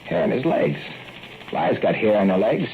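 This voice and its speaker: An actor playing an excitable rural young man who raises a giant fly, in a folksy drawl that swings from hollering to hushed murmurs, in a 1940s radio play. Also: on the low side, normal speed. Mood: earnest. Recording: muffled old radio broadcast, faint music.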